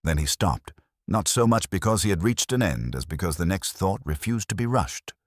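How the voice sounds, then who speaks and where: A man narrating a literary mystery story in a measured, formal, wry manner. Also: low voice, expressive, no echo. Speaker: audiobook narrator.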